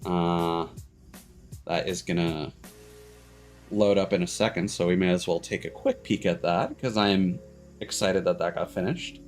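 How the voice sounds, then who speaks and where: A man hosting a livestream in casual chat, rambling and haltingly backtracking mid-sentence. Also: sits low. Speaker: streamer host. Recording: music playing behind the voice. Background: background music.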